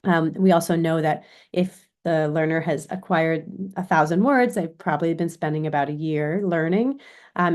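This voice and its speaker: Female American lecturer, lowish pitch, informal explanatory delivery with frequent check-ins. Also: darkish tone. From Chicago, United States. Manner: explanatory, often checks with "right?". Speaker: university lecturer in education.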